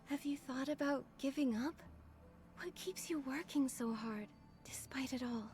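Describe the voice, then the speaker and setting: A woman voicing a gentle, earnest young adventurer and healer in a video game, soft and breathy in delivery. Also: high-pitched, measured pace, faint music behind. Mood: gentle concern.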